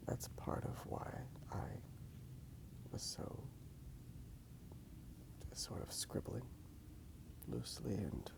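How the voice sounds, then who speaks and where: A man rambling softly in a breathy ASMR whisper, unhurried and halting as he comments on his drawing. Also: medium pitch, poor audio. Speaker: host of a drawing ASMR channel.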